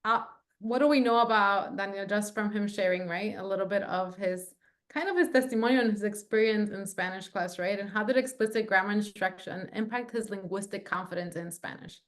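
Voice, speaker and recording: A woman's voice: mid-range voice, brisk. A woman leading a training session over a video call, chatty, with lots of fillers and "right?". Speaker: workshop facilitator.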